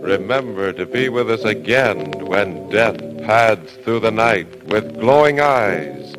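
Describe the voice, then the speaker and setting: Male announcer, vintage radio thriller, slow, very varied intonation, theatrical, ominous, music behind. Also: fairly low pitch, expressive, rough recording. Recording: old radio recording with music.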